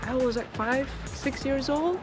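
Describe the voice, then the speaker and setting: A woman's voice: high-pitched, a little slow, soft background music. A young adult woman from Indonesia, a guest in a casual interview, speaking hesitantly with many fillers and restarts.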